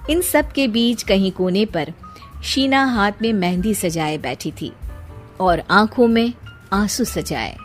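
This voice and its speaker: A woman's voice: medium pitch, expressive, slow, soft background music. A woman reading a scripted story as its storyteller.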